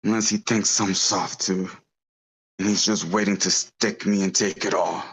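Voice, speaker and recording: A man playing a bitter, self-pitying crime boss with a gruff, brooding manner, in a script table read. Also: deep voice, steady tone, normal speed, a bit bright.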